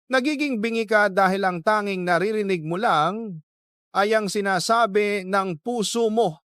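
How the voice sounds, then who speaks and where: High-voiced man narrating love stories. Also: fairly bright tone, no room sound, studio quality. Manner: emotive, deliberate storytelling in short phrases. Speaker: radio drama host and narrator. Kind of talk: scripted dramatic love-story narration.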